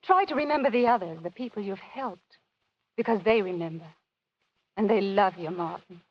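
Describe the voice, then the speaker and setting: An actress playing a young woman devoted to her doctor husband, warm and coaxing, in a radio drama. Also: mid-range voice, lively intonation, moderate pace, close to an everyday voice. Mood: consoling. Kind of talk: 1940s radio acting. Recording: dull old radio recording.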